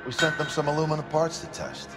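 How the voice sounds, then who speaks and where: A man's voice: on the high side, fairly even intonation, fast, gravelly, over loud music. A man playing a brash, slippery head of security in a video game, talking in a cocky, slangy way. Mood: cocky, evasive. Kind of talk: video game cutscene acting.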